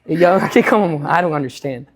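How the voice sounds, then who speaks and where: A woman interpreting a sermon live for a church congregation, following the preacher line by line in a quick, conversational manner. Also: sits low, animated, poor audio. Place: church stage before a congregation.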